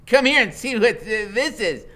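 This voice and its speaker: A man's voice: very high voice, sing-song, moderate pace, sharp tone, pitched above the performer's own voice, heavily acted. A man voicing a scrawny, taunting woman smoker who drawls and repeats herself in a storybook. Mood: sneering, calling out. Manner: drawling out of the side of mouth, repetitive.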